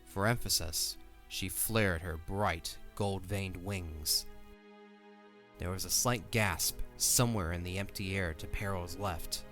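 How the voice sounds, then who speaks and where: A man's voice: deep voice, takes it slowly, a bit bright. A man giving scripted third-person narration for a fantasy audio drama. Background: faint music.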